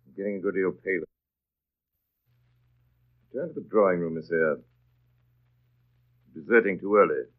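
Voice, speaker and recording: An actor playing a brooding, commanding master of a country house in 1930s radio drama style, with an imperious, abrupt and intense manner. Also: male voice, deep voice, moderate pace, pauses often, close to an everyday voice. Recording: dull 1930s radio broadcast recording.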